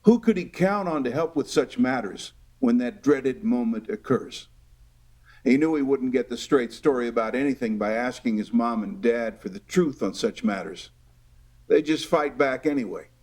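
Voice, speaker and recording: A man playing a reflective, wry narrator, telling a scripted period radio drama in measured fashion. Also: medium pitch, lively intonation, a little mellow, natural voice.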